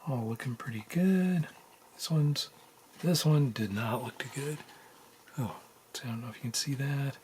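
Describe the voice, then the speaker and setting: A man's voice: mid-range voice, measured pace, pauses often, darkish tone. A man giving a casual hands-on tutorial, thinking aloud informally as he works at a bench indoors. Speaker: tutorial host. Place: indoors at a workbench. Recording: noticeable room background noise.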